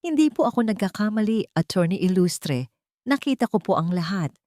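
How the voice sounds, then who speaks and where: A woman's voice: mid-range voice, lively intonation, moderate pace, mellow, dry recording, studio quality, close to an everyday voice. A woman with a Filipino accent voicing a timid but brave young waitress, polite and earnest, in a romance story. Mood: firm, brave.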